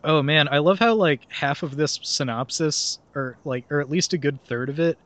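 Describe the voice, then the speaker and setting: A man's voice: on the high side, normal speed, a bit bright. A young man reads a film recap aloud for a casual podcast. His tone is wry and loose, and he keeps breaking into laughter and dry remarks about the plot. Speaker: podcast host reading a film synopsis. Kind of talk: casual comedic podcast chat.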